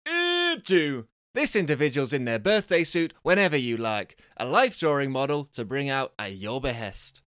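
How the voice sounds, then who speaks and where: A man's voice: medium pitch, animated, moderate pace, few pauses, fairly bright tone, clear, resonant tone, dry recording, clean studio sound, close to an everyday voice. A man playing a brash game show announcer who punches out prize reveals in an exclaiming manner. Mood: showy, excited.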